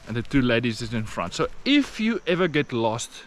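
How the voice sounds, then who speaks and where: A man's voice: mid-range voice, big pitch swings, moderate pace. A man from South Africa with an Afrikaans accent, narrating a casual hiking vlog outdoors, plain and encouraging, with frequent fillers. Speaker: vlogger. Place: outdoors on a rainforest trail.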